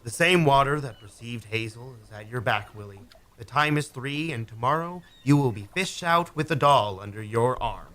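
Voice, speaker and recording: An actor playing a cold, quiet killer who taunts his victim in a community radio play. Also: medium pitch, normal speed, few pauses, close to an everyday voice. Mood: gloating. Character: cold, sly male killer, calm and menacing. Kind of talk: amateur radio drama acting.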